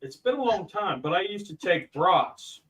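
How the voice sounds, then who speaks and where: A man's voice: high voice, sing-song, moderate pace, over a noisy crowd, rough recording. A man co-hosting a casual chat who jokes and tells short anecdotes. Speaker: co-host.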